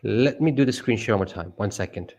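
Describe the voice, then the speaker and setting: A man's voice: fairly low pitch, fast, a little mellow. A man co-hosting a live stream, frustrated and muttering to himself while sorting out tech trouble. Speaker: stream co-host handling the tech. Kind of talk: muttering asides.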